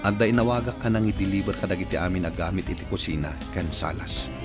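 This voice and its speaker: A man's voice: sits low, fast talker, over music, natural voice. A man playing an indulgent, easily flustered cheating husband in an Ilocano radio comedy drama, with an Ilocano accent.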